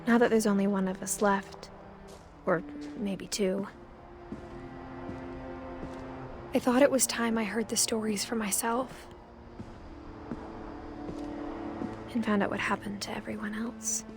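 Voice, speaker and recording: A quiet, pensive actress's portrayal of a young woman looking into her family's secrets in a narrative game. Her mid-pitched narration is understated and reflective, with soft music behind it. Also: steady tone, normal speed, lightly acted. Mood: uneasy, reflective.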